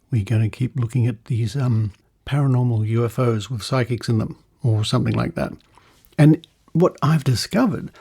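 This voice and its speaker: Male podcast host, middle-aged, Australian accent, mid-pitched voice, chatty and informal delivery. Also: vocal fry, warm tone. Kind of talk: casual podcast presenting.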